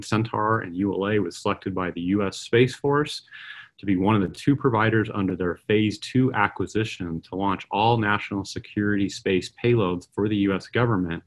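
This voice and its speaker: A middle-aged man giving a conference keynote as an aerospace executive, speaking in a measured way with frequent uh and um pauses. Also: fairly low pitch.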